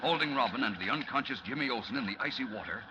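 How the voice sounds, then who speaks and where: An actor as a brisk, dramatic announcer narrating a 1940s radio serial. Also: male voice, medium pitch, expressive, lo-fi sound, close to an everyday voice. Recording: noisy old radio recording.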